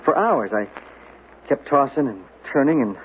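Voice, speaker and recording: An actor playing a fast-talking male agent who stammers through a guilty confession, in a vintage radio drama. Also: medium pitch, animated, slight background noise, lightly acted. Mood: confessional. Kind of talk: 1970s radio drama acting. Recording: tinny old radio broadcast.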